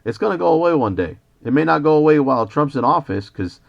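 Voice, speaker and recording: A man's voice: on the high side, expressive, fast, creaky. Argumentative American man, muffled lo-fi call. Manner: argumentative, indignant, lecturing. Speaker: citizen journalist questioning police by phone. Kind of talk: confrontational phone complaint. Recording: dull lo-fi phone call recording.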